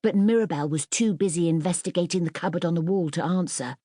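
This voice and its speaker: A woman reading a children's story as an audiobook narrator, in an expressive, scripted storytelling style. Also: lowish voice, fast talker, dry recording, studio quality.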